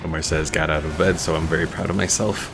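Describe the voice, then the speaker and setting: A man's voice: rumbling low, steady tone, fast, vocal fry, music in the background. A man giving casual live commentary while streaming a game.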